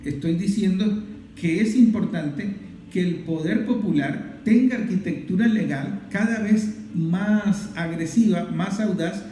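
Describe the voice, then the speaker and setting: A man's voice: sits high, unhurried, dark tone. A man with a Venezuelan accent giving emphatic, didactic political commentary as a program host, stressing points through repetition. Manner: emphatic, didactic, repeats phrases in list-like runs. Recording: very echoey room, faint music. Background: faint music.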